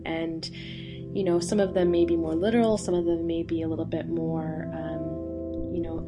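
Female student radio host, slightly low voice, loose delivery with ums, music bed behind. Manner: relaxed presenting with frequent fillers. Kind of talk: hosting a student radio special. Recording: guitar music playing underneath.

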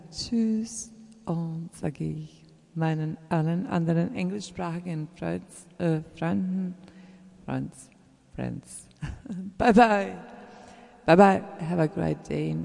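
Very slow, gravelly German-accented speaker. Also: medium pitch. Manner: halting, searching for words, frequent fillers; earnest and encouraging, drops to soft whispers at phrase ends. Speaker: voice artist sharing a message to fellow artists. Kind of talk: casual spoken message to listeners. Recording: roomy with long reverb.

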